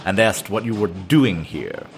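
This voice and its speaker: An actor playing a weary, deadpan waiter on a train. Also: male voice, low voice, measured pace, gravelly, a little hiss or hum, heavily acted. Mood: exasperated. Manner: deadpan and exasperated.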